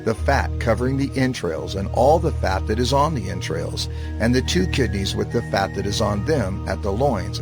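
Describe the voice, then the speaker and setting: Casting: male narrator for dramatized scripture, mid-range voice with expressive rise and fall, grave delivery. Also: mid-range voice. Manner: solemn, measured, deliberate emphasis. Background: music.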